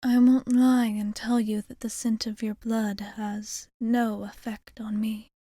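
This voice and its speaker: A woman playing a caring, composed vampire bartender in an ASMR roleplay, speaking softly and formally, partly in whispers, close to the mic. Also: on the high side, flat delivery, no room sound. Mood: restrained, caring. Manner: gentle, formal, measured phrasing. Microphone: close studio microphone.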